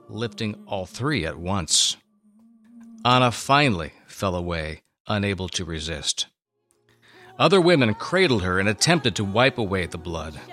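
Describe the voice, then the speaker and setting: Male narrator for dramatic scripture storytelling: low register, very animated delivery, solemn and theatrical. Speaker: narrator and co-host.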